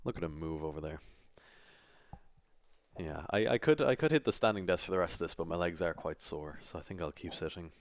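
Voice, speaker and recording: A man hosting a casual interview, asking questions in a relaxed, friendly way. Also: deep voice, a little fast, a little hiss or hum. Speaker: interviewer, host.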